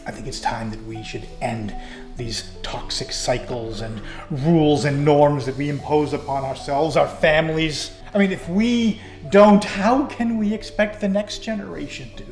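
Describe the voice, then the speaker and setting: A man's voice: fairly high pitch, unhurried. A man acting a sheepish closeted reverend, earnest and hesitant, in a melodramatic web drama. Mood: moved, earnest. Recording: roomy film dialogue under music.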